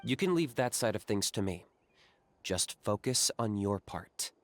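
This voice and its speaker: A man voicing a calm, practical young warrior in a video game, giving instructions in a cool, level way. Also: on the low side, unhurried.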